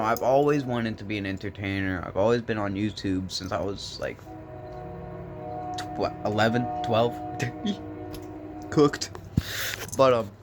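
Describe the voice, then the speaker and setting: A young man with a voice hoarse from smoking, rambling and joking in a laid-back way into his phone. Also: mid-range voice. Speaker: vlogger. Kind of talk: rambling casual vlog chat.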